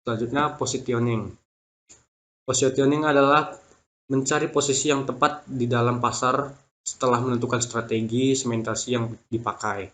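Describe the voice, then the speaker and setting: Young man reading a presentation haltingly. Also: mid-range voice, steady tone, fairly bright tone. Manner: stumbles over words and restarts them. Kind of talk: reading a prepared business plan presentation.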